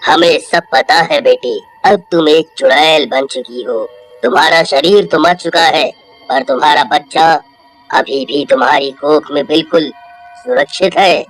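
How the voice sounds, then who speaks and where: Woman doing old sadhu baba, wise and solemn, dropped low voice, cartoon, faint music. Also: rough recording. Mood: solemn, reassuring. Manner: solemn, measured, all-knowing. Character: wise, calm old male holy hermit. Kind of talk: Hindi horror cartoon voice acting.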